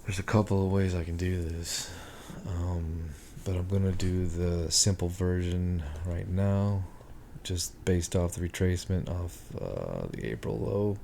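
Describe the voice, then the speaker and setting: Slow, gravelly low-voiced man. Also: warm tone, slight background noise. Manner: slow, thinking aloud with many ums. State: hoarse, clearing his throat. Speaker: market analyst. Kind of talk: screen-share chart walkthrough.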